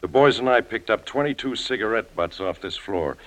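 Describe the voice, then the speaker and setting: An actor playing a dutiful, folksy police sergeant, colloquial and deferential, in an old-time radio crime drama. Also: male voice, lowish voice, animated, moderate pace, natural voice, low-quality recording. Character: folksy, dutiful male police sergeant reporting to his captain. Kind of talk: 1940s-50s radio drama acting.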